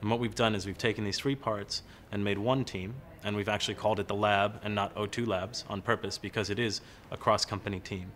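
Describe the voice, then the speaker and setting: A man in his thirties speaks as a corporate representative in an informal interview. His voice is somewhat low in pitch. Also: steady tone, fairly quick, hardly any pauses, fairly bright tone. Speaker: corporate innovation team representative. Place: large open-plan office, indoors.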